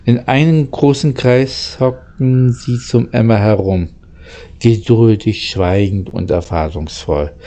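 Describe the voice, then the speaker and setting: Man, amateur narrator, unhurried, soft-edged mellow tone, halting delivery with repeated words. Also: fairly low pitch. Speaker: narrator, channel host. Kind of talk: amateur storybook reading, casual channel outro.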